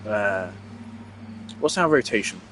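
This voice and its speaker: A man giving casual gameplay commentary, thinking out loud with fillers and the odd swear. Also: fairly low pitch, expressive, slow, a little hiss or hum. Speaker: gamer testing team setups.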